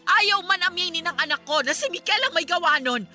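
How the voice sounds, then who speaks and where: A woman's voice: very high voice, lively intonation, a little fast, pushed and bright, faint music behind, heavily acted. A woman playing an angry, protective middle-aged Filipino mother in a radio drama, accusing and indignant. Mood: accusing, upset.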